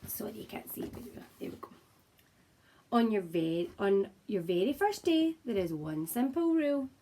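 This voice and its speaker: A woman primary teacher with a slightly husky voice, talking to young children and reading a picture book aloud in an expressive, encouraging way. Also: mid-range voice, moderate pace, slight background noise. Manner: expressive, encouraging, simple address to small children. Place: child's room at home, indoors.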